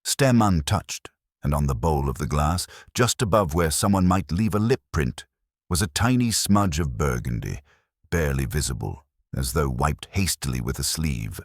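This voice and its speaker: A man's voice: very deep voice, animated, moderate pace, dry recording, clean studio sound. A man reading a scripted first-person detective story as its narrator.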